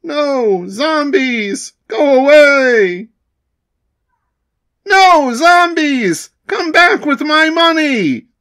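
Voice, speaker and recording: A man voicing an excitable, easily alarmed schoolkid in a comic, exclaiming melodramatically. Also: extremely high pitch, drawn-out words, voice pushed up, exaggerated voice. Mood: panicked.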